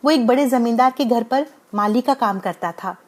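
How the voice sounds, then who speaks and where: A woman's voice: a little high, moderate pace. A woman narrating a scripted bedtime moral story.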